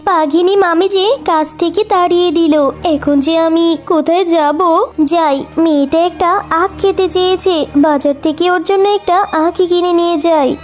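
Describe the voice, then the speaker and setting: A woman's voice: very high-pitched, steady tone, moderate pace, a little mellow. A woman voicing a poor, anxious fox mother and housemaid in a children's cartoon, plaintive and pleading. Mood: worried, sad.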